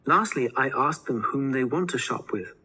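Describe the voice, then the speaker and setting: A man's voice: medium pitch, expressive, moderate pace, pushed and bright, slightly rough, heavily acted. A man playing an earnest college student explaining survey findings in a scripted listening-test dialogue. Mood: earnest, informative.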